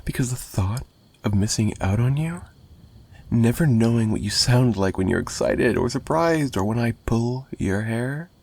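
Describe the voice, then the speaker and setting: Lovesick mafia kidnapper, played by a male actor: husky, intimate ASMR delivery, menacing yet pleading, halting. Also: medium pitch, lively intonation, a little mellow. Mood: yearning, obsessive. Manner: halting, repeats himself, drifting into breathy whispers. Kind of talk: ASMR roleplay, intimate monologue to listener.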